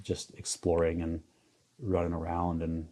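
A man in his forties with a low, dark and mellow voice. He talks in a flat, even way, halting and restarting with lots of fillers as he thinks aloud in an interview. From Iowa, US Midwest. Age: 40s. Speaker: photographer guest. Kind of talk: reflective interview conversation.

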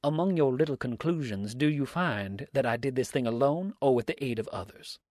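A man's voice: medium pitch, expressive, fairly quick, darkish tone, no room sound, lightly acted. A defiant villain from a storybook, acted out by a male reader, sneering and theatrical. He speaks in mocking, sarcastic exclamations, in a closely recorded, dry sound. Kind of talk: dramatic storybook reading.

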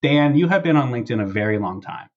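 A man's voice: mid-range voice, lively intonation, quick pace. A man hosting a casual comedy podcast with playful, exaggerated banter. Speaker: podcast host. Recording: dull, band-limited audio.

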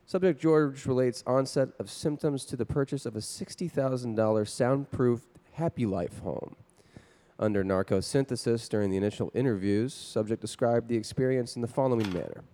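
An actor playing a composed, clinical psychiatrist with a measured, professional manner in a 1950s radio drama. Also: male voice, mid-range voice, warm tone, close to an everyday voice. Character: composed, clinical male psychiatrist dictating case report.

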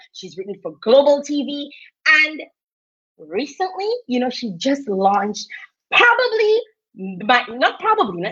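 Effusive woman hosting an online interview. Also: a little high. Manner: effusive, hypes guests with shout-outs. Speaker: host. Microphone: video call. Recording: slightly dull lo-fi sound.

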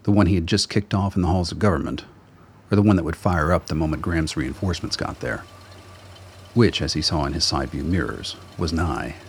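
A man with a very deep, husky voice reading scripted narration for a radio drama. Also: brisk, a little hiss or hum.

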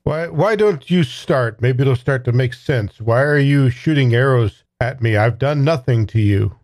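Guy playing a wary confused fighter in a tabletop rpg, stammery and halting, dry close mic. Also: medium pitch, darkish tone, no echo, close to an everyday voice. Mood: guarded. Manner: hesitant, halting, repeating words. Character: cautious, confused male fighter stalling and negotiating.

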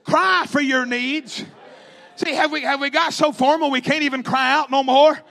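A man's voice: very high-pitched, steady tone, normal speed, creaky, sharp tone. A man preaching a fervent revivalist sermon, emphatic and repetitive, calling out to his congregation in rhythmic exhortation. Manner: calls out to the congregation.

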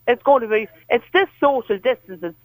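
A woman with a Meath accent calling into a radio show, talking earnestly and haltingly. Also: fairly high pitch, animated, rough recording. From Kells, County Meath, Ireland. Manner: earnest, halting, repeats herself. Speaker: caller. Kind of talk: call-in chat.

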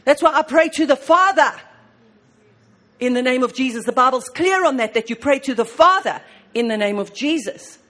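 A woman preaching with fervor, her voice sitting a little high. She keeps repeating exclamations of praise and moves between hushed passages and loud ones. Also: lively intonation, moderate pace. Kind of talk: sermon on prayer.

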